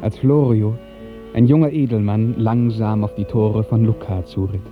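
A man narrating a literary radio drama in a measured, lyrical 1950s storytelling style. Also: on the low side, steady tone, soft background music. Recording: muffled old 1950s radio recording.

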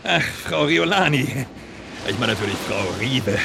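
An actor in a German radio drama playing a brazen, cocky blackmailer who was once a hired killer. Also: male voice, medium pitch, lively intonation, normal speed, creaky. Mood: brash, jovial. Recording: loud surf noise behind. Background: ocean waves on a beach.

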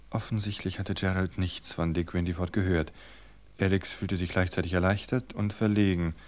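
A man narrating a novel in a calm, measured storytelling voice. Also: fairly low pitch.

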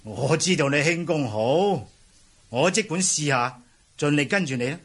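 A man's voice: a little high, lively intonation, moderate pace, a bit bright. A man playing an earnest young nobleman hero in a Cantonese martial-arts radio drama, theatrical and exclamatory. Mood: friendly, eager.

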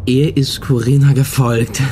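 A man's voice: mid-range voice, little pitch movement, unhurried, mellow, a little hiss or hum, lightly acted. An actor playing an agitated, lovelorn young male killer in a comic radio crime drama. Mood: agitated confession. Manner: agitated, nervous. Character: nervous, lovelorn young man, a confessing killer.

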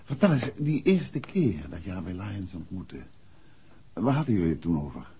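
A man's voice: sits low, sing-song, normal speed, dark tone. An actor playing a protective, probing detective husband in a 1960s radio drama, with a decisive, fatherly manner. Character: married male detective, protective, probing and authoritative. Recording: dull, noisy 1960s radio recording.

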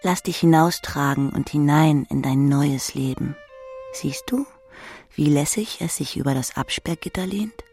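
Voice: medium pitch, measured pace, a little mellow, soft background music. A narrator reading literary prose in the second person, speaking intimately to the listener.

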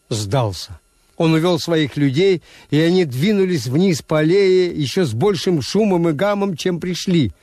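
An actor playing a weary, cynical middle-aged hotel owner who tells his story in a measured, wry way in a Soviet radio drama. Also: a little high, a little mellow.

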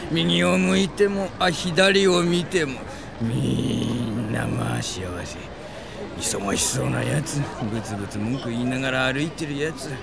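An actor playing a hot-headed, self-pitying middle-school gang boss in a comic audio drama, talking in rough, boastful delinquent slang. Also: male voice, mid-range voice, background noise.

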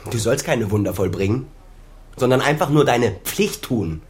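An actor playing an embittered, determined adult son in a German radio crime drama, speaking in a measured, guarded, sardonic way. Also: male voice, mid-range voice, expressive, husky. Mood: pleading, insistent.

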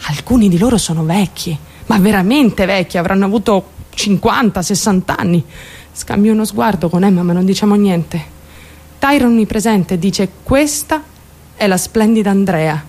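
An actress playing a naive, lovestruck and traumatized young woman in an intimate, halting radio drama monologue. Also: medium pitch, a little mellow, close to an everyday voice. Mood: wistful, naive. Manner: intimate, halting, childlike self-questioning. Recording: music behind the voice. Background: music.

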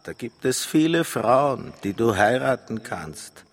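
Slow, deliberate fox in reverb. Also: male voice, mid-range voice, expressive. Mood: knowing advice. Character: advice-giving fox in a parable. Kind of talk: radio drama acting. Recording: long hall reverb on the voice.

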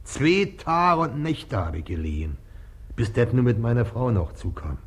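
A man's voice: mid-range voice, big pitch swings, moderate pace. An actor playing a stubborn, evasive working-class bargeman who speaks plain Berlin-Brandenburg dialect in a radio comedy. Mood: stolid. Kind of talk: stage-comedy radio acting.

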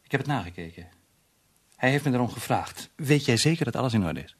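An actor playing a middle-aged, sociable but firm business colleague, tactful and insistent, in a radio drama. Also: male voice, mid-range voice, lively intonation, fast talker, slightly rough. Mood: concerned, insistent.

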